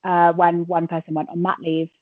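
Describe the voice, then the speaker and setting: A woman's voice: fairly low pitch, little pitch movement, normal speed, no room sound. A woman in her twenties from Wales with an English accent, chatting casually as a radio presenter with lots of fillers and laughs. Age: 20s. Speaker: radio presenter and entertainment reporter. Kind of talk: casual interview answers. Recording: tinny phone-line sound.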